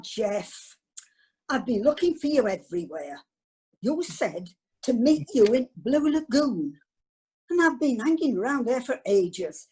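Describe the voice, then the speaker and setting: Woman acting husky, swooping older northern English wife. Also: mid-range voice, darkish tone, rough recording. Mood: exasperated. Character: fussy retired northern English wife, chatty and easily flustered. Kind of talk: amateur radio comedy acting. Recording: online video meeting audio.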